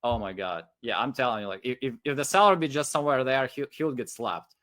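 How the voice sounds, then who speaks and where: A man's voice: mid-range voice, quick pace, fairly bright tone. A man who speaks English as a second language, giving unscripted sales advice in a rambling, repetitive, emphatic way. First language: non-English first language.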